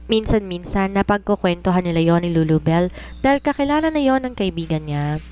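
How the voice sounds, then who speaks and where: A woman narrates a romance novel in a lower-pitched voice. It is scripted storytelling, read aloud as a narrator would. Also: animated, a little fast, a little mellow, smooth.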